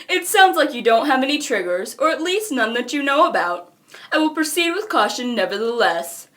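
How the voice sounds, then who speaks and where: A woman's voice: fairly high pitch, a bit bright. A woman voicing a preachy, verbose young troll who lectures formally and carefully, for a cartoon character reel. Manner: pedantic, formal, measured enunciation.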